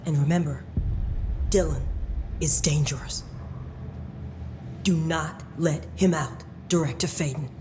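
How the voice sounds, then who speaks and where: Commanding woman, deep voice, solemn, authoritative, echoing hall, background noise. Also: fairly even intonation, a little slow, long pauses. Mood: grave, warning. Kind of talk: video game dialogue acting. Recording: long echoing reverb, noisy background.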